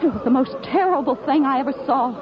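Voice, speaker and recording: An actress playing a horrified, shaken wife in a 1950s radio drama. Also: female voice, high voice, quick pace, natural voice. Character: horrified, shaken wife clinging to her husband. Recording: very noisy muffled radio, loud crowd.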